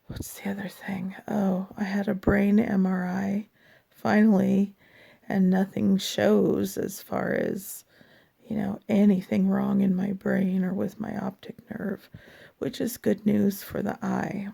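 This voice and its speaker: Hoarse, raspy, tired woman. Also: mid-range voice, level tone, warm tone. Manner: slow, halting and tired, with many pauses and fillers. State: hoarse from a lasting cough. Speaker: vlogger. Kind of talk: personal health update.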